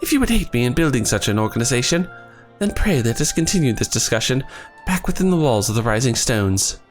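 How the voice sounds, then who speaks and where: A man reading the lines of an earnest young male scholar in his own voice, with formal, archaic phrasing and a voice that is going hoarse. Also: medium pitch, very animated, fairly quick, soft background music, natural voice. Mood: solemn. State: losing his voice.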